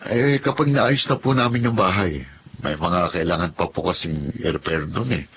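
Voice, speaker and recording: A man's voice: low voice, normal speed, a bit bright. An actor playing a mild, respectful young married man in a Filipino radio drama. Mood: polite, casual. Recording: light background noise.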